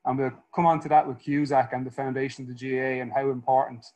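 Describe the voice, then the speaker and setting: A man in his thirties with an Irish accent gives an informal history lecture. His voice sits at an ordinary middle pitch. He talks in a relaxed, halting way, restarting phrases and filling pauses as he goes. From Ireland. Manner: hesitant, many fillers and restarts.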